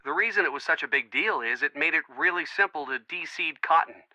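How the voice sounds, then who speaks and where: Young male game character: earnest, chatty, reassuring, very bright tone, slightly high, dry recording. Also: lively intonation, fairly quick, dry recording, poor audio. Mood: friendly, helpful. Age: young adult. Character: friendly young man, earnest, bookish, supportive boyfriend type. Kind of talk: video game voice acting, phone conversation.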